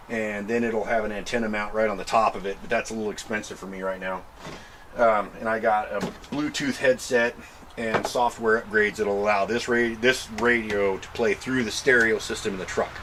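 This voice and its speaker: An American man giving a casual, halting explainer to camera in an informal, thinking-aloud way. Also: fairly low pitch, noisy background. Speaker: vlogger showing a truck modification.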